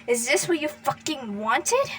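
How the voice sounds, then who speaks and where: A woman voicing a furious, grieving, hot-tempered young man who yells and curses at an unmoving friend, his voice breaking. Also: on the high side, normal speed, far from the mic. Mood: bitter taunting. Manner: yelling, cursing, voice breaking. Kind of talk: dramatic fan fiction reading.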